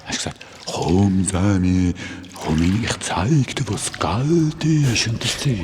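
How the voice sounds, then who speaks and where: A man's voice: fairly low pitch, animated, slow pace, noisy background. A man playing curious adventurer Sämi. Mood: curious, puzzled. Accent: Swiss German. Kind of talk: children's radio play acting.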